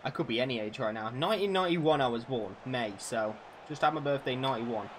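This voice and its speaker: A man's voice: medium pitch, expressive, fast talker, a little hiss or hum. A man in his twenties casually chatting and swearing freely while streaming a football video game, answering his viewers' questions. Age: 20s. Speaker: gaming streamer.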